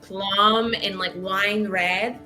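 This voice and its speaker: A woman's voice: medium pitch, steady tone, drawn-out words, sharp tone, faint music behind. A woman improvising her character's actions in a tabletop roleplaying game, playful, dramatic and slangy. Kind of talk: animated improvised story narration.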